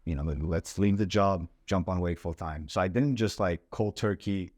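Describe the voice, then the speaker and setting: A man's voice: deep voice, moderate pace, darkish tone, no room sound, studio quality. A young man from Lebanon, a startup founder, chatting casually on a podcast in a laid-back way, full of "like" and "bro". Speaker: startup CEO guest.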